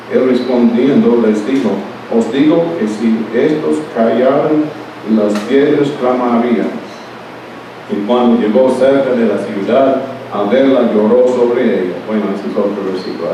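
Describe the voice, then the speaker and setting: A male pastor reading scripture, praying and preaching in a halting way, often restarting his words because Spanish is his second language, at a morning church service. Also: mid-range voice. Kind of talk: sermon with scripture reading and prayer. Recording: echoey room, mic far off, steady background noise.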